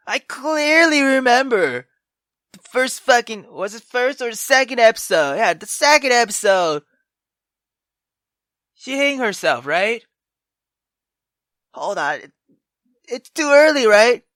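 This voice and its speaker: A man hosting a gaming video with casual, reactive commentary, halting, repeating himself and swearing freely. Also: very high voice, lively intonation, sharp tone, no room sound, clean studio sound.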